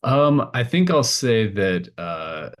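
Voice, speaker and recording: A man's voice: lowish voice, very animated, slow pace. A man running a tabletop game, improvising narration in a tentative, informal way. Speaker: game master.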